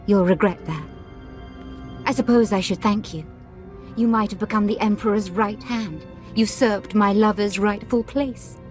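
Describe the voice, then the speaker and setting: A woman's voice: medium pitch, a little slow, faint music behind, natural voice. Woman playing a haughty Sith villainess, zealous and menacing. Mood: scornful. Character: zealous Sith woman officer, scornful, devoted to her master. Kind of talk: dramatic video game villain acting.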